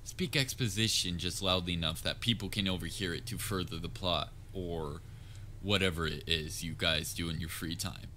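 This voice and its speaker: A very low-voiced, theatrical supervillain played by a man with a crisp, forceful edge. He is pompous and dryly sarcastic, a grand nemesis from a comedic audio roleplay who secretly cares about his rival. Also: normal speed, a little hiss or hum. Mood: irritated, dismissive. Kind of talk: scripted audio roleplay, comedic villain monologue.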